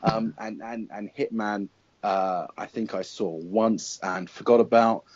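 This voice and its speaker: A middle-aged man giving his opinions as a guest critic in a casual panel discussion, pausing to think as he reasons. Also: lowish voice, steady tone, a little mellow, no room sound, muffled recording.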